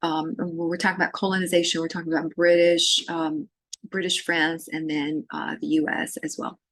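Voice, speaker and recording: A woman from Syria giving a recorded course lecture, talking in a halting way with many fillers and restarts. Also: fairly low pitch, little pitch movement, a bit bright, no echo, poor audio. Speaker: literature lecturer.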